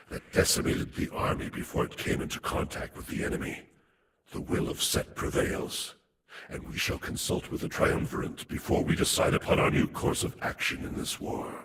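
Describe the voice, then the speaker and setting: A man's voice: moderate pace, lightly acted. A man voicing a cold, inhuman herald in a video game, issuing formal decrees to slaves in a stern, whispery, voiceless tone. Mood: commanding. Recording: echoing, clearly noisy background.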